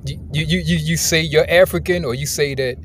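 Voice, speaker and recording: A man's voice: fairly high pitch, fairly even intonation, a little fast, fairly bright tone. An American man, the video's host, asking questions in casual conversation inside a car, restarting his words often. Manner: inquisitive, conversational, repeats words when starting. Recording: faint car noise.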